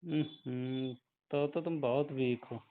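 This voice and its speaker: A man's voice: medium pitch, fairly even intonation, takes it slowly, close to an everyday voice. A man playing a hesitant, mildly chiding brother-in-law in a phone conversation, hedging and repeating himself with questioning hums. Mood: quizzing, chiding. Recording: tinny narrowband phone-call audio.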